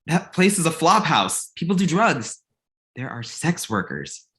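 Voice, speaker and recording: A man acts out a naive son in his thirties, earnest about Christmas and a little whiny. The voice is bright and forceful, and it swings up and down freely through the comedy script. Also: a little high, very animated, unhurried. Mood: aggrieved, whiny. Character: naive thirty-six-year-old son, childishly devoted to Christmas and Santa. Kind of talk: comedy script table read.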